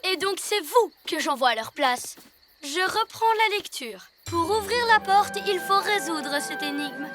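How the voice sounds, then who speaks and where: A woman's voice: very high-pitched, animated, measured pace. A woman voicing a bossy, scheming girl villain in a French cartoon, theatrical and smug. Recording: music behind the voice.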